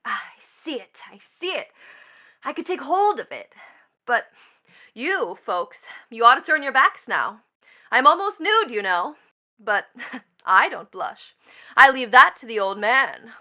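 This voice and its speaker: A woman's voice: fairly high pitch, sing-song, measured pace, bright, forceful tone, full character voice. A woman playing a bitter, mocking young stepdaughter in a stage drama, with a taunting, theatrical delivery. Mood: vengeful, excited. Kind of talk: theatrical stage play acting.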